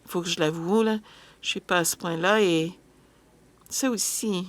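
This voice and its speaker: A woman's voice: fairly low pitch, a little slow. A woman interpreting a panel discussion live, hesitant, with frequent filler sounds and restarts.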